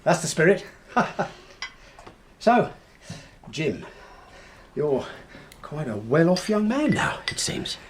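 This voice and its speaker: An actor playing a desperate middle-aged uncle in an audio drama, blustering and wheedling behind a forced joviality. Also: male voice, a little high, very animated, slow, long pauses, slight background noise. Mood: falsely hearty.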